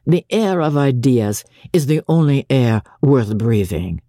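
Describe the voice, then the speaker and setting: Young tutor for literary audiobook, played by a woman: lively intonation, soft mellow tone, earnest and courteous. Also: very deep voice, measured pace, no echo, studio quality, heavily acted. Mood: ardent. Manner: earnest, philosophising, polite. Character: eager, impecunious young Frenchman, an intellectual private tutor.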